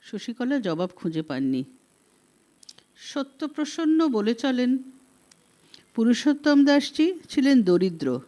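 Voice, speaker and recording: Female audiobook reader, unhurried and soft-toned, for dramatic literary fiction. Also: fairly high pitch. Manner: measured, dramatic storytelling. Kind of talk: expressive literary story narration.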